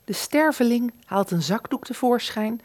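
A woman's voice: a little high, expressive, normal speed, a little mellow, no echo. Woman narrating, close and dry. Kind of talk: scripted radio play narration.

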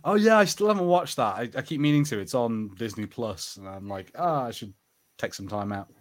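Mid-pitched man in casual podcast chat. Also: lively intonation, brisk. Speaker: podcast co-host.

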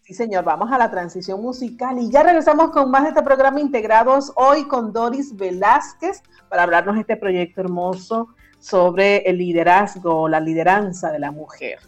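A woman's voice: medium pitch, moderate pace, few pauses, faint music behind. A woman hosting a radio interview show, speaking conversationally as she addresses listeners and her guest.

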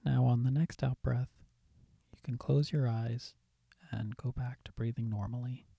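A soft-spoken man leading a guided meditation with gentle, soothing, calm instructions. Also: medium pitch, measured pace, creaky, breathy edge, no room sound. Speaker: meditation guide, podcast host.

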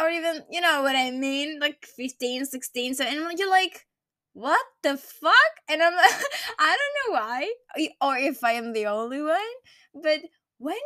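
A young woman in her twenties with a high, bright voice, talking in a loose, rambling way. She restarts her sentences, swears now and then, and stays candid. The recording is dry and close, with little room sound. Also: no echo. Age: 20s. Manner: rambling, self-correcting, frank with swearing and laughs. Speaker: podcast host. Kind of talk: casual personal monologue.

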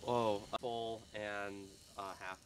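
A teenage boy playing a word game, flustered and halting as he searches for answers. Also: lowish voice, level tone, rough recording. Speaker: player. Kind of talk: casual game banter.

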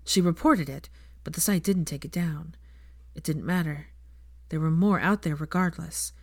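A woman's voice: low-pitched, moderate pace, no room sound, clean studio sound. A woman reading fiction aloud as scripted audiobook narration.

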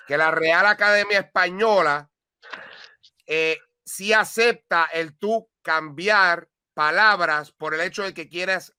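A man's voice: sits high, bright, forceful tone, no echo. A man, co-hosting a casual talk and explaining things emphatically, repeating words as he goes. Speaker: podcast co-host. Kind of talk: casual chat.

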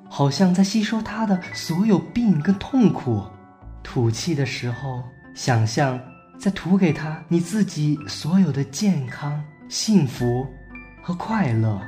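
A man playing a free-spirited traveling cousin, giving measured instruction in an amateur radio drama. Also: a little high, mellow. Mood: guiding.

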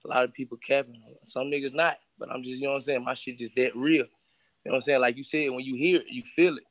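A man's voice: medium pitch, steady tone, fast talker, dry recording, low-quality recording. A young man in his twenties from Charlotte, a rapper guest talking in streetwise slang and constantly saying "you know what I'm saying". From Charlotte, North Carolina. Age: 20s. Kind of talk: casual interview.

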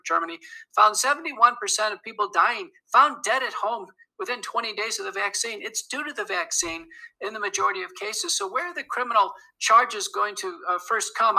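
Assertive male interview guest, sharp bright tone, high and gravelly. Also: rough recording. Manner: firm, assertive, lists facts steadily. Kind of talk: interview clip.

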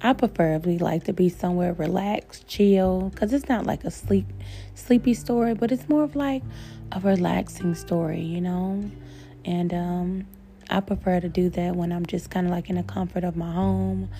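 A woman's voice: fairly low pitch, moderate pace, creaky. A woman hosting a podcast in a casual, encouraging way, often speaking of faith and gratitude to her listeners. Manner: warm, encouraging, spiritual, talks to listeners as "my babies". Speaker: podcast host. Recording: faint guitar music behind.

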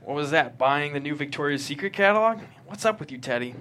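A man plays a brash, needling office colleague in a drama table read. His voice is high and sharp, pushy and sarcastic, with clear background noise. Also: lively intonation, quick pace. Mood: exasperated, needling.

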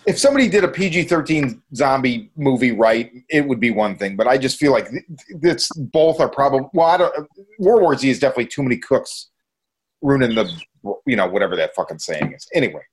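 Blunt, husky-voiced man chatting. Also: medium pitch, big pitch swings, brisk. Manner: blunt, opinionated, sweary. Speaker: podcast co-host. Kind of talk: casual chat about horror films.

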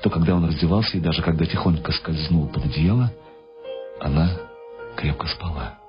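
Deep male narrator reading a novel, slightly muffled radio sound, music behind him. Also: brisk. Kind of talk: scripted literary narration of a radio drama.

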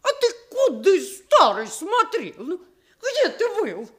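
A woman's voice: very high voice, wildly swooping pitch, slow pace, heavily acted. An actress playing a doting, scolding old grandmother in a radio play, with rustic speech and a folksy, fussing manner. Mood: agitated scolding.

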